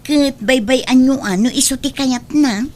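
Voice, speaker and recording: A woman's voice: sits high, normal speed, darkish tone, lightly acted. A woman with a Filipino accent playing a young, dutiful daughter in a comedy drama, chatty and reassuring. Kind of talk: amateur comedy drama acting.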